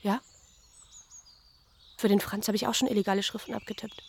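A woman playing an earnest young woman, shy yet quietly brave, in a radio drama. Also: medium pitch, steady tone, a little fast, gravelly, a little hiss or hum, natural voice. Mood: keen, competent.

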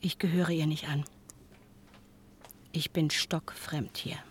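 An earnest mother tells her son her news in a slightly low voice. She speaks in measured, intimate phrases that sink toward a whisper, as in a radio play letter. Faint ambient noise sits behind her. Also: little pitch movement. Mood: forlorn, estranged. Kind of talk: German radio play monologue, letter narration.

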